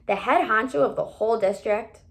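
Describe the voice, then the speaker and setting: A woman reader plays a gossipy teenage schoolboy from a children's storybook. The voice is fairly high and creaky, with slangy, exaggerated teasing. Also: brisk, natural voice.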